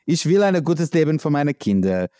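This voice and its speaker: A man from the United States with an American accent, speaking in a halting, searching way with many fillers, answering as an interviewee. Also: on the high side, sing-song, mellow, no echo, studio quality. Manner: halting non-native speech with many fillers. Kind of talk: conversational interview.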